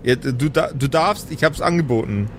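A man's voice: mid-range voice, normal speed, slight background noise. A man as game master, improvising narration and table talk for a role-playing game.

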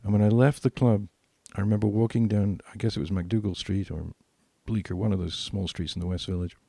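A man's voice: fairly low pitch, steady tone, fairly quick, creaky, dark tone, no room sound. An older British man reminiscing as a podcast co-host, repeating phrases for emphasis. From Britain.

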